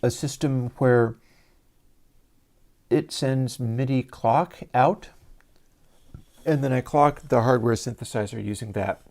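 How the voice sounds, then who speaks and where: Male livestream host, soothing and mellow, easygoing explainer on a lav mic. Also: mid-range voice, slow pace, long pauses. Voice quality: soft, soothing voice. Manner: relaxed, rambling, explains gear step by step. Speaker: livestream host and audio developer. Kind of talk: casual livestream demo. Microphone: lavalier mic.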